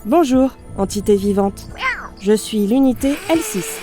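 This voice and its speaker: A woman playing a damaged robot unit in audio fiction, speaking formally and precisely, polite, analytical and gently naive. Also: medium pitch, expressive, unhurried, over music, natural voice. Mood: polite, didactic. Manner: formal, clipped system announcements and precise explanations. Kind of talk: scripted audio fiction monologue.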